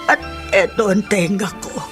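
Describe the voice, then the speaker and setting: An actress playing a resigned, motherly older woman in a radio drama, soft-spoken and halting, with a Filipino accent. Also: mid-range voice, fairly even intonation, natural voice. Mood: encouraging. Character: older woman, healed leprosy patient, motherly, resigned, living alone. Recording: music under the voice.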